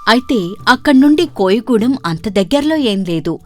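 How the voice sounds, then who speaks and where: A woman reading a scripted moral story as narrator, in an expressive storytelling delivery. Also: on the high side, normal speed. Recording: music behind the voice. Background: background music.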